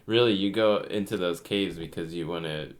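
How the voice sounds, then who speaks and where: Very deep-voiced man discussing board games. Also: moderate pace. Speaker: podcast co-host. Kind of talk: casual board game discussion.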